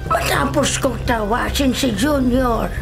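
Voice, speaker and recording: A woman's voice: on the high side, vocal fry. A woman playing a grave older female folk healer, solemn and ominous, in a Filipino radio drama. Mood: grave, foreboding. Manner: solemn, ominous, deliberate phrasing. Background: dramatic music.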